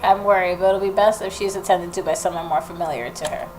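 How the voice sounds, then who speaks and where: A woman hosting a gaming video, reading the story narration aloud and adding expressive reactions of her own. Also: fairly low pitch, fast, low-quality recording. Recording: noticeable background noise.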